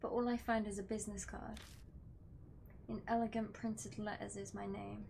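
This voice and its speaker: A woman's voice: medium pitch, little pitch movement, moderate pace, creaky, warm tone, a little hiss or hum. A woman game streamer reading a visual novel aloud, breaking off for casual commentary. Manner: casual reactions between read-out lines. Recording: music behind the voice. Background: game music.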